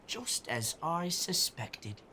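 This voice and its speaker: A woman's voice: low-pitched, expressive, slow pace, noisy background. Bossy young boy gang leader. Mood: focused, commanding. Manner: commanding, matter-of-fact. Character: young boy leading street urchins, bossy, earnest, shrewd. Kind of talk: scripted audio drama acting.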